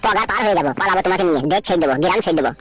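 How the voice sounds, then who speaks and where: A woman voicing a lovesick married village man in a comic cartoon, a melodramatic flatterer who gushes and pleads. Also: fairly low pitch, rapid-fire, loud music behind the voice, close to an everyday voice. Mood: gushing, pleading. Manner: gushing, melodramatic.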